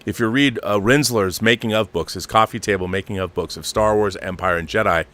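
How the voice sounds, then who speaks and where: Low-voiced, emphatic male talk show host. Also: a little fast, a bit bright. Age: middle-aged or older. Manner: emphatic, repeats phrases for stress. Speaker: host of a live commentary show. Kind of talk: opinionated casual film commentary answering viewer messages.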